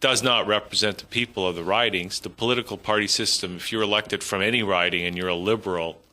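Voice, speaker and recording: A man's voice: lowish voice, steady tone, fast talker, sharp tone, a little hiss or hum. A man on a panel, laying out his argument in a reasoned, explanatory way. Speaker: panel guest. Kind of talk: panel discussion.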